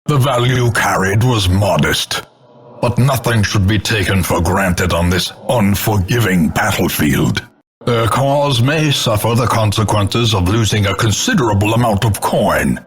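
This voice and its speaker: Video game announcer: grim, scornful older man of doom, fairly deep, very measured and theatrical. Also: animated, fairly bright tone. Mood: mournful, grim. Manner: grandiose, ornate, measured dramatic delivery. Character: grim ominous older male narrator of doom, contemptuous. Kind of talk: theatrical scripted game announcements, gothic narration.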